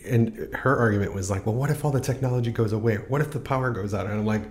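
A man's voice: mid-range voice, fast talker, slight background noise. A middle-aged man hosting a podcast, telling stories in casual chat and livening up when he quotes others. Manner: storytelling, animated when quoting others. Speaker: podcast host.

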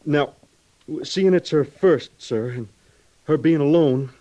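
A man's voice: a little high, unhurried, mellow, natural voice. A man playing an anxious, deferential young cavalry trooper in a radio western, earnest and hesitant. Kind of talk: old-time radio western acting. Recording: dull vintage radio recording.

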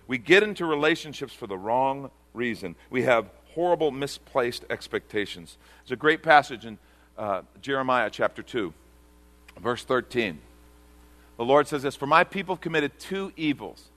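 A man's voice: mid-range voice, lively intonation, moderate pace, fairly bright tone. A man pastor preaching a sermon to a congregation, earnest and repeating key phrases for emphasis.

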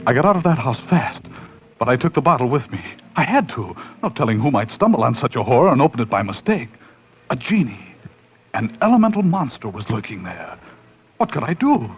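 Intense storyteller, swooping pitch. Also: male voice, very animated, husky. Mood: grim, shaken. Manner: dramatic, intense, with suspenseful pauses. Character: excitable, uneasy man narrating his own horror tale. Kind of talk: 1940s radio drama acting and narration. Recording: dull, slightly noisy 1940s radio recording.

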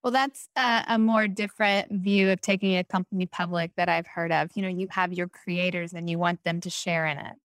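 A woman interviewing someone in a casual, conversational style, speaking informally and with animated emphasis. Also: fairly low pitch, brisk, hardly any pauses, clear, resonant tone, no echo.

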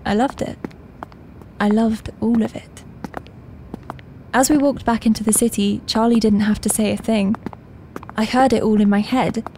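An actress playing an earnest young shop assistant in an audio drama, confiding her story in a hushed, reflective way. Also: mid-range voice, slight background noise, lightly acted, poor audio. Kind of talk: intimate first-person audio drama monologue.

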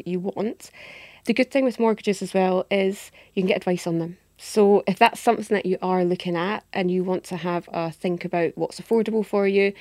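A woman with a Scottish accent, a guest expert answering interview questions in a steady, explanatory way with small restarts. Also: on the low side, dry recording. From Scotland.

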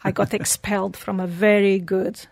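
A woman's voice: medium pitch, little pitch movement, normal speed, creaky, a little mellow, no room sound. A woman, telling her life story earnestly as an interview guest. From Portugal. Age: 40s. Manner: earnest, storytelling, slightly broken English phrasing.

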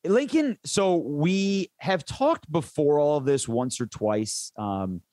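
A man's voice: on the high side, expressive, slow, darkish tone, no room sound, clean studio sound. A man who works as a sports play-by-play announcer, chatting casually and enthusiastically in an interview, rambling into detail.

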